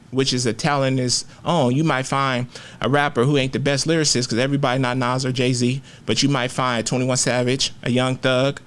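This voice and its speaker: A man, talking candidly and with plenty of profanity and slang as a rapper guest in a casual interview. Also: mid-range voice. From Oakland, California.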